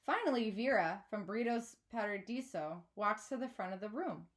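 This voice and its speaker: A woman reading a children's novel aloud like a teacher, in an expressive storytelling manner. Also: mid-range voice, normal speed. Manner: clear storytelling with acted dialogue.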